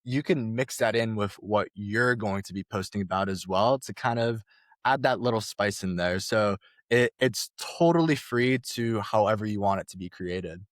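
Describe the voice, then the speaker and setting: A man's voice: fairly low pitch, moderate pace, no echo, studio quality. A young man, a startup co-founder, explaining his product in a casual podcast interview with some fillers.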